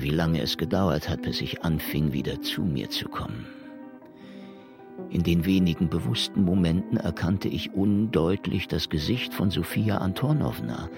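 An actor playing a brooding hard-boiled detective who narrates a noir radio drama in a gravelly, deliberate way. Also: male voice, a bass voice, full character voice. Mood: weary, reflective. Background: music.

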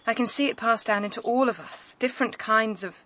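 A woman's voice: a little high, fairly quick, bright, forceful tone, low-quality recording. A woman playing a guarded, fearful but frank young woman in a radio drama, in an intimate manner. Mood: uneasy, frank. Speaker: actor.

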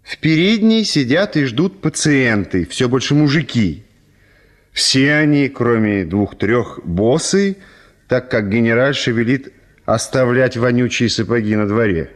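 A man narrating a classic comic story for a radio play in a measured, theatrical storytelling manner. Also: mid-range voice, animated.

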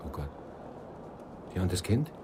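A man's voice: low voice, sing-song, a little slow, noisy background. An actor playing a melancholy, jealous middle-aged poet in a radio drama, brooding and literary in his phrasing. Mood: tender, wistful. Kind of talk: radio drama narration and intimate dialogue.

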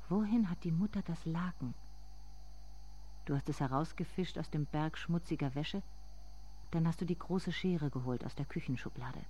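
An actor performing a literary radio drama monologue as a haunted inner narrator, speaking in deliberate, intense fragments. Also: medium pitch, darkish tone, slight background noise, close to an everyday voice.

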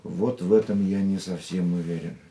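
An actor playing a worldly, restrained, fatalistic lover in a radio play, speaking in a measured, wry way. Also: male voice, low voice, fairly even intonation, mellow, reverberant, close to an everyday voice. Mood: wry, guarded.

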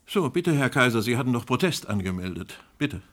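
Male, mid-pitched; a courteous, sceptical broadcast moderator who keeps pressing, radio drama. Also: big pitch swings, a little fast, fairly bright tone. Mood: courteous, businesslike. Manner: polite but persistent questioning. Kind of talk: German radio play staged as a broadcast panel.

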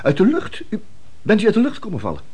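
A man's voice: high-pitched, wildly swooping pitch, fast talker, darkish tone, husky, full character voice. A man playing a flustered middle-aged father in a radio play, stammering and hesitant yet bossy. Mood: bewildered.

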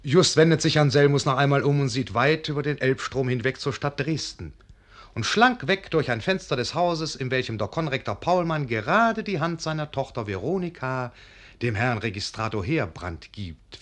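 A man playing a wistful storyteller, narrating a literary radio drama in a formal, measured manner. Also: mid-range voice, animated, fairly bright tone, close to an everyday voice. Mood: wistful, storytelling. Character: wistful male storyteller, a poor poet in his garret.

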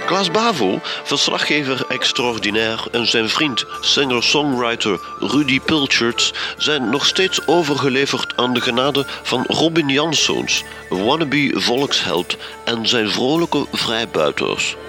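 A slow, measured narrator, voiced by a man introduces a comic radio serial over music. He is deadpan and mock-dramatic. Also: mid-range voice.

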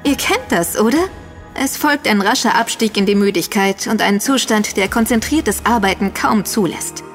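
A woman's voice: medium pitch, expressive, normal speed, soft background music. A woman delivering scripted advisory narration, speaking directly to the listeners.

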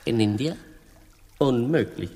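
A man's voice: mid-range voice, measured pace, mellow. A man playing a stuffy, fretful Spanish king in a comic radio play. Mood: indignant. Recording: long, hall-like reverberation.

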